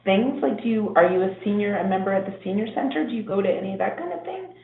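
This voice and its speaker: A woman's voice: medium pitch, lively intonation, quick pace, creaky. A woman, a council member, asking friendly questions at a meeting. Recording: tinny, echoey room audio.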